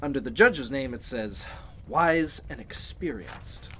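A man's voice: mid-range voice, animated, measured pace, bright, forceful tone. Congressman for vintage-style radio thriller, male actor: arrogant yet capable, uneasy, swinging intonation, some noise behind. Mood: guarded. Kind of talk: golden age radio drama acting.

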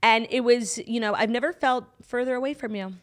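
A young woman with a New York accent co-hosting a podcast in casual, animated chat, full of "like" and swearing. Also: fairly high pitch, fairly quick. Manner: animated, swearing, heavy use of "like". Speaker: podcast co-host.

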